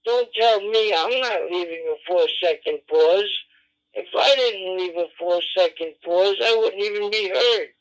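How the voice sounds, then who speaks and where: High-pitched combative man over dull radio. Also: unhurried. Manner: combative, insistent, repetitive, swearing. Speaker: amateur radio operator. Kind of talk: radio argument. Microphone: radio transceiver microphone. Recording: dull lo-fi digital ham radio transmission.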